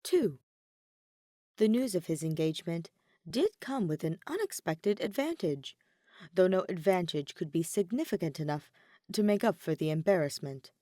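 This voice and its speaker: A woman narrating a story in an expressive audiobook style. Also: fairly low pitch, normal speed, no echo, clean studio sound. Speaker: podfic reader, narrator.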